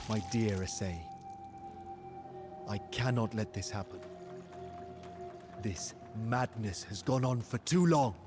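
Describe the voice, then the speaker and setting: A man's voice: medium pitch, close to an everyday voice. Game cutscene lead: male actor as devoted warrior chief, solemn and intense theatrical storyteller, medium register, prominent music underneath. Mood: anguished, then rousing, defiant. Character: passionate Muisca war commander, grave and devoted lover. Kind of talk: dramatic scripted first-person game cutscene narration.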